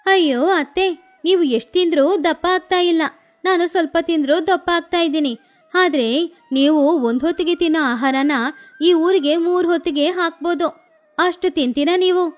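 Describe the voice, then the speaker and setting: A woman voicing a young wife and daughter-in-law who talks back slowly and tartly, over faint music. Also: very high-pitched. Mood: retorting.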